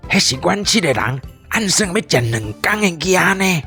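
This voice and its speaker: A man's voice: high voice, animated, normal speed, sharp tone, faint music behind, voice pushed up, exaggerated voice. A man with a Taiwanese accent voicing grumpy, gullible, food-greedy little forest dwarfs in a children's radio play, blunt and indignant.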